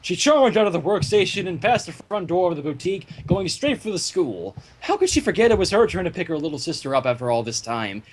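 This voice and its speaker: A man narrates a story with a high, expressive voice that moves around a lot. He slips into casual asides, and the home recording has faint background noise. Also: fairly quick, hardly any pauses, poor audio. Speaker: narrator of a fan fiction reading.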